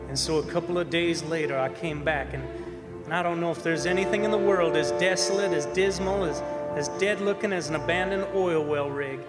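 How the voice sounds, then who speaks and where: A male actor plays a roughneck from the oil rigs, haunted and defensive, in a live radio horror play. His voice sits on the high side and he halts and repeats himself as he spins the eerie story. The hall rings around him, and music plays behind. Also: fairly even intonation, close to an everyday voice. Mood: tense storytelling. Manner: folksy, halting, repeating words for suspense. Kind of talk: live radio drama acting, first-person horror narration. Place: performing arts center stage. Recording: stage performance in reverberant hall, music behind.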